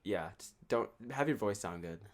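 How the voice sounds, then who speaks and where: Young male co-host with a slightly nasal, lowish voice, loose and jokey, swears casually. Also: expressive, normal speed. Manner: joking, rambling, swears freely. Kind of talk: casual chat.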